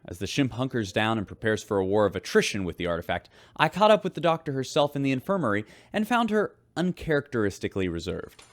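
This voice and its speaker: An actor playing a wry, weary spaceship radio host, reporting in a measured way in a sci-fi audio drama. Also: male voice, mid-range voice, big pitch swings, no room sound, lightly acted. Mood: weary, concerned.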